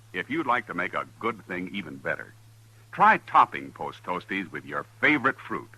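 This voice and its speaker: Lively husky American radio pitchman. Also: no room sound, rough recording. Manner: folksy, salesmanlike. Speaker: commercial announcer. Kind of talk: 1950s radio cereal advertisement. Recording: dry vintage radio recording.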